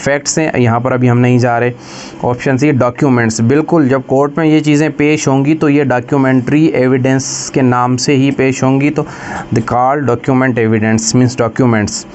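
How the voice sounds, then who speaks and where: A man's voice: medium pitch, steady tone, darkish tone. Pakistani man lecturing, with background noise. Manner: switches between Urdu explanation and slow, deliberate English reading. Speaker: lecturer for law exam preparation. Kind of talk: explanatory lecture, reading out quiz questions and explaining them.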